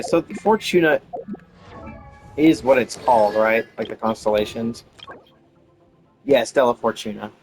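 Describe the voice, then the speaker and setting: Casual man commentating over game music. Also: mid-range voice. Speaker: game streamer.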